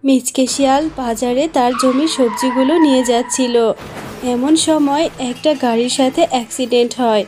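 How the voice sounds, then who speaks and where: A woman's voice: high voice, steady tone, moderate pace, background noise. A woman reading scripted narration for a cartoon story as its narrator.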